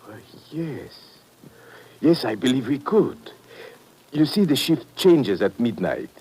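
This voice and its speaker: A man's voice: medium pitch, big pitch swings, takes it slowly, a little mellow, slightly rough, heavily acted, poor audio. An actor playing an earnest, grim Czech man in an old-time radio thriller, speaking in formal, careful phrases. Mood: conspiratorial.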